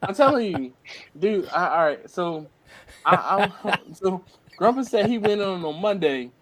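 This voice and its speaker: A man's voice: high voice, lively intonation, unhurried, a bit bright. A man with an American accent hosting a casual late-night chat with friends, laughing often and repeating himself as he tells a story. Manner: laughs often, repeats "I'm telling you". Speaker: host.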